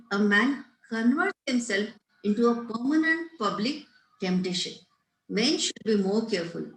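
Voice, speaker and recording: A woman reading the part of a prim, moralizing middle-aged governess with emphatic, preachy delivery, in a roomy-sounding space. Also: a little high. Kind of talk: amateur table read of a stage comedy. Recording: roomy echo.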